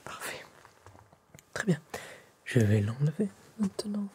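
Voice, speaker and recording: Casting: male ASMR tailor, mellow half-whisper, unhurried and courteous, faint background noise. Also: on the high side, big pitch swings. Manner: polite, formal, attentive customer service. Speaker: ASMR roleplayer playing a tailor. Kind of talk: soft-spoken ASMR roleplay, half whispered.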